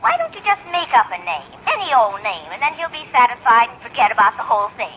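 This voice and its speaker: An actress playing an older mother who gently meddles in her daughter's affairs, in a 1940s radio sitcom. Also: fairly high pitch, very animated, quick pace, a little hiss or hum. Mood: advising. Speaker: supporting player. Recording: muffled 1940s radio broadcast.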